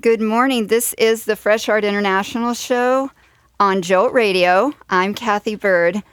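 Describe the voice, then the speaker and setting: A woman's voice: mid-range voice, fairly even intonation, a little slow, no echo. A woman hosting a radio show from a studio, introducing segments and interviewing guests.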